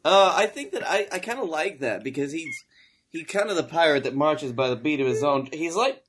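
A man co-hosting a comedy podcast, riffing casually in a dry, measured way. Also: on the high side, very animated, a bit bright, breathy edge.

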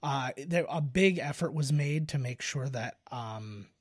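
A man's voice: medium pitch, measured pace, husky. A man co-hosting a podcast, explaining film trivia in a casual, informative way. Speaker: podcast co-host.

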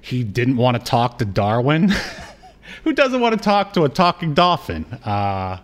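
A man's voice: medium pitch, huge pitch swings, unhurried. A man co-hosting a podcast, chatting casually and jokingly as he rambles through a recap of a TV show. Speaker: podcast co-host. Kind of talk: casual comedic recap chat about a TV show.

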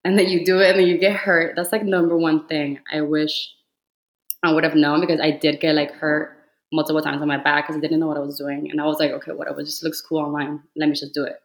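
A woman's voice: low-pitched, level tone, fast, low-quality recording. A woman in her twenties from Cuba, with a slight Cuban Spanish accent, chatting casually as a podcast guest and using lots of "like" fillers. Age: 20s. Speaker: podcast guest, fitness influencer. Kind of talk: casual chat.

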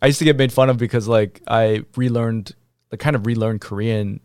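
Podcast host guy, gravelly mid voice, easygoing questions, dry sound. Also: medium pitch, steady tone, fairly quick, darkish tone, no echo. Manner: easygoing, encouraging with short backchannels. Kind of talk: casual interview.

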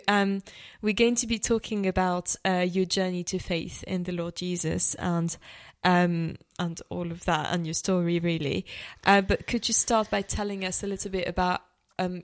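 A woman hosting a podcast, introducing her guest and encouraging her with soft murmurs. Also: on the low side, fairly even intonation, normal speed. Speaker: podcast host. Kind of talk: podcast hosting, casual interview.

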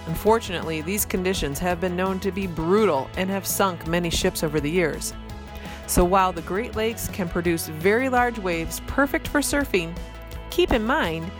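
A woman's voice: on the low side, expressive, moderate pace. Woman reading a segment over music. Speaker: podcast segment presenter. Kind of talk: scripted narration. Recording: clear music bed behind her.

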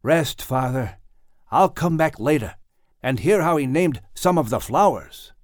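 Guy doing a gentle bible times man, slow n tender, higher voice, storybook style. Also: expressive, no room sound, studio quality. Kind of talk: audiobook character acting.

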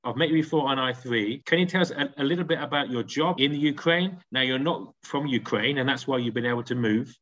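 A man's voice: mid-range voice, brisk, few pauses, a bit bright. A man interviewing a colleague for a podcast, asking long rambling questions full of fillers. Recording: dull lo-fi call audio.

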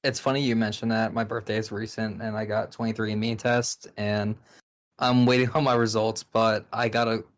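A man co-hosting a podcast, asking questions and telling stories in a jokey, animated way. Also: on the low side, moderate pace. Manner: jokey, animated storyteller who laughs at his own jokes. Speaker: podcast co-host. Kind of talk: casual podcast chat.

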